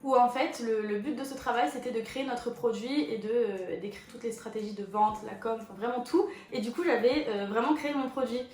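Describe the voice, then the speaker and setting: A woman in her twenties, a photo model, chatting casually as she answers viewer questions, with lots of relaxed fillers. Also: a little high, a little fast, hardly any pauses. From France. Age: 20s. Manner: chatty and relaxed, with many fillers like 'du coup' and 'voilà'. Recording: echoey room with faint background music.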